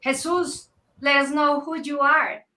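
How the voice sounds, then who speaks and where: Woman, Colombian-born, panel moderator, high voice, gracious and halting, video call. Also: flat delivery, pushed and bright. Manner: gracious, slightly halting with fillers. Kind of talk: hosting an online panel.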